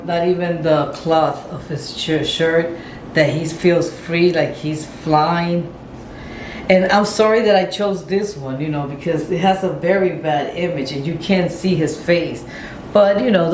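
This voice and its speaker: A woman's voice: fairly low pitch, steady tone, normal speed, a little mellow. Middle-aged woman rambling in an echoey room. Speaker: vlogger paying homage to a pop singer. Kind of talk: casual rambling commentary. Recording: echoey room with faint background noise.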